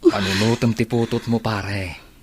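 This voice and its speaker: A Filipino-accented man playing a calm, sensible friend giving advice in a radio drama. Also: on the low side, unhurried, slightly rough. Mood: calm, reproving. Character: calm, sensible male friend advising a wayward buddy.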